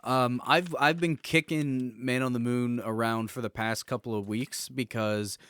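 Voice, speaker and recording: A man's voice: mid-range voice, steady tone, measured pace, a bit bright, smooth, no room sound. A man in his twenties co-hosting a casual podcast, informal and slangy, often searching for his words. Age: 20s. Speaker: podcast co-host.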